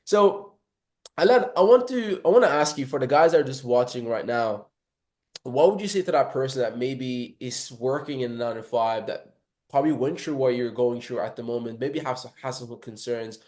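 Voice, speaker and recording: A man's voice: medium pitch, lively intonation, normal speed, darkish tone. A man hosting an interview, asking encouraging questions and sprinkling in religious phrases. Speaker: interviewer, host. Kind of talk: casual interview.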